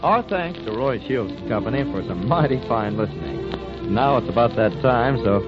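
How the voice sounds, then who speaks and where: A man's voice: medium pitch, lively intonation, moderate pace. An American man doing scripted announcing in the style of a 1940s radio program sign-off. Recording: dull 1940s radio broadcast recording. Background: music.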